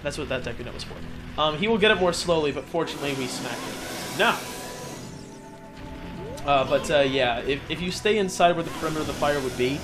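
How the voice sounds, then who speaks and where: A man's voice: fairly high pitch, lively intonation, brisk. A man hosting a video game let's play, giving casual, joking commentary in a rambling, hesitant way with mock-earnest enthusiasm. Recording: game music behind the voice.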